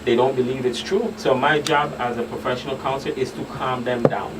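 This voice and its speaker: A man explaining things in a measured way as the expert guest on a radio talk show. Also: mid-range voice, steady tone. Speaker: guest expert, professional counselor. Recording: distant mic, clearly noisy background.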